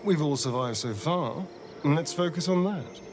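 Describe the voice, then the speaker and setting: An actor playing a sly, foppish vampire spawn in a video game, with an arch, guarded, theatrical drawl. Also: male voice, fairly high pitch, lively intonation, measured pace, noisy background. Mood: calculating.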